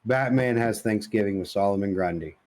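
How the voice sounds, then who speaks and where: A man's voice: lowish voice, brisk, darkish tone. A man co-hosting a podcast, chatting informally with plenty of slang and some swearing. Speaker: podcast co-host. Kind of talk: casual chat about comics, movies and games.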